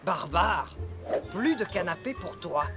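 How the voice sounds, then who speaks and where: Pompous, swooping cartoon chef. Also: male voice, sits high, expressive, slow pace, creaky, exaggerated voice. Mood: haughty, offended. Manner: pompous, disdainful. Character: haughty male gourmet chef, easily offended. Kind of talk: dubbed children's cartoon acting. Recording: faint music behind.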